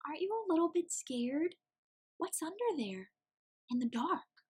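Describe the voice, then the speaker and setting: Plaintive little storybook penguin girl, voiced by a woman, high and slow, dreamy yet stubborn. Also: sing-song, full character voice. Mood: timid, wistful. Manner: plaintive, earnest. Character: small timid but stubborn young girl penguin, dreamy.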